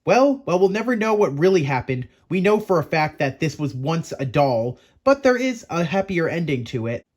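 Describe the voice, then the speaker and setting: Male narrator, slightly high voice. Chatty, theatrical host delivering scripted, playfully spooky storytelling straight to the listener. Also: sing-song, moderate pace, hardly any pauses. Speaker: host of a creature-lore video series.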